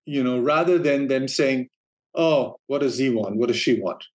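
A middle-aged man from Denmark, a guest in an interview, telling his career story in a relaxed way, laughing easily and repeating words as he thinks. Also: mid-range voice, normal speed. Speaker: guest, streaming operations manager.

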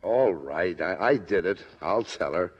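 Flustered, lively-voiced radio comedy dad. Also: male voice, low-pitched, measured pace, breathy edge, muffled recording, heavily acted. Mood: sheepish, defensive. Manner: exasperated, sputtering. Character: flustered, well-meaning suburban father and football coach. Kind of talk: 1950s radio comedy acting. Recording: old 1950 radio broadcast.

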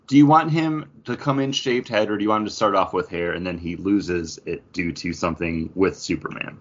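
A man's voice: low voice, fairly quick. A man co-hosting a podcast, giving his opinions in casual conversation. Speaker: podcast co-host. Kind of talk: casual chat and opinion on films and TV. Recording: dull lo-fi sound.

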